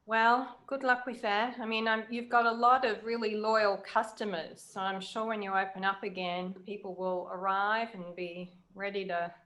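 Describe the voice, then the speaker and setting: A woman's voice: medium pitch, flat delivery, normal speed, hardly any pauses, a bit bright. Woman hosting a conversational interview. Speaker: interviewer and author introducing a guest.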